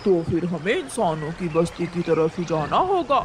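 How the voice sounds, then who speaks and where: A man voicing an earnest, emphatic male crow in a children's cartoon story, with a husky voice. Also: fairly high pitch, expressive, normal speed, a little hiss or hum, close to an everyday voice. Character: Kalu, pleading loyal male crow, a cartoon bird.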